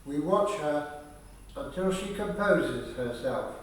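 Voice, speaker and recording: A man narrating a scripted radio play in a measured way. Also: fairly high pitch, lively intonation. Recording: echoey room, faint noise, distant mic.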